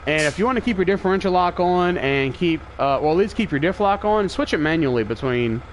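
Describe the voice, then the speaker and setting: Man, slightly high voice, stuffy nose, laid-back game commentary, loud truck engine noise underneath. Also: fairly quick. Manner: relaxed, thinking aloud while driving. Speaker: game streamer. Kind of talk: casual live gameplay commentary. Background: truck engine and vehicle sounds from the game.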